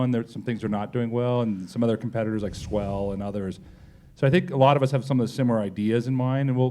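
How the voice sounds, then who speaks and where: A man with a low, relaxed, mellow voice answers questions as an executive at a live talk. He speaks conversationally, softening his points with small filler phrases. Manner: conversational, hedging with kinda and you know. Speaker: company executive being interviewed. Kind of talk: live panel interview answers. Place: on stage at a live pub talk event.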